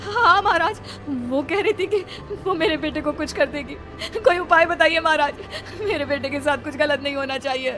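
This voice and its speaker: A woman's voice: high-pitched, moderate pace, hardly any pauses, sharp tone, soft background music, pitched above the performer's own voice. A woman voicing a frightened, protective mother in a cartoon horror story, with urgent, emotional delivery. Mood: tearful pleading. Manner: urgent, tearful pleading. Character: frightened, protective village mother pleading for her son.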